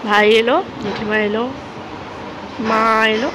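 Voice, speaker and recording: A woman's voice: on the high side, little pitch movement, a little slow, very noisy. A woman vlogger giving a chatty running commentary as family members arrive at an event. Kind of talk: casual vlog narration. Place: temple grounds, outdoors.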